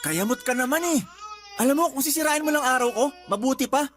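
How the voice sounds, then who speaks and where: A man's voice: very high-pitched, fast talker. A man with a Filipino accent playing a carefree young father in a radio drama, whiny and defensive as he bickers. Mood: irritated. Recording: music behind the dialogue.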